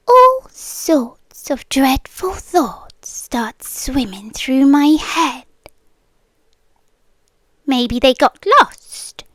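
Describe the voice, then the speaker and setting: A woman's voice: high voice, dry recording, full character voice. A British woman voicing an ancient, possessive siren captor, sweetly menacing, in an intimate roleplay ASMR monologue that often drops toward a whisper. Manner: slow, coaxing, often dropping into whispers.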